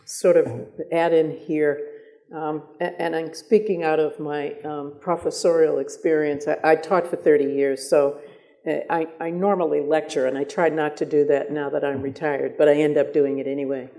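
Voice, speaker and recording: An older man, a retired professor and moderator, explaining in a measured, lecturing manner. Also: a little high, flat delivery, mellow. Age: 60s or older.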